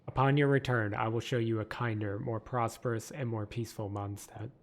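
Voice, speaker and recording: A man's voice: medium pitch, fairly even intonation, brisk, close to an everyday voice. A man voicing a dutiful young woman knight. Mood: earnest.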